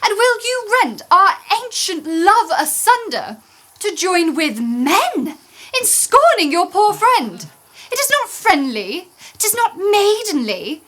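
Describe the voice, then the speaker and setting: Helena, a high, wounded young woman played by an actress. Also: big pitch swings, slow, fairly bright tone, exaggerated voice. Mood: wounded, reproachful. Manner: declamatory, dramatic. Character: Helena, wounded indignant young woman, easily frightened lover. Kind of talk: theatrical Shakespearean radio play acting.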